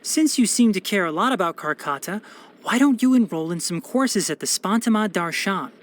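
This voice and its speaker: A man's voice: high-pitched, animated, normal speed. Game role: young male fox-eared forest watcher. Scholarly, practical, precise diction, matter-of-fact with dry sarcasm. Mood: thoughtful. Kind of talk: scripted video game character voice lines.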